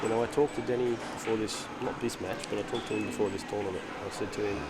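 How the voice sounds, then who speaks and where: Man co-commentating over loud venue noise. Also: fairly low pitch, steady tone, fast. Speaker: pool match co-commentator. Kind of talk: casual live sports commentary. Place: pool venue, close to the players.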